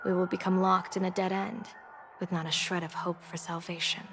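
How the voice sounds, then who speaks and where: A woman voice acting a shrewd, composed general and diviner in a game cutscene, speaking in a measured, formal, theatrical way. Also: on the low side, flat delivery, lightly acted. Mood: grave, composed. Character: shrewd, composed female general and diviner, secretly gambling against gods.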